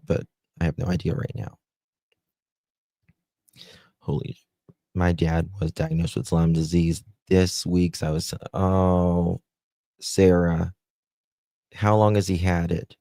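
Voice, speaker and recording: A man with a Southern US accent hosting a live stream, chatting slowly with viewers and reading their comments aloud. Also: sits low, creaky, mellow, dry recording. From United States. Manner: slow, chatty, drawling, talks to viewers by name. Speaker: livestream host.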